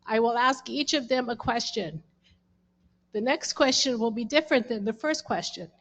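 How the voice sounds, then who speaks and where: A woman's voice: a little high, normal speed, fairly bright tone. A woman moderating a community candidates' meeting, laying out the guidelines in an organized, instructive way.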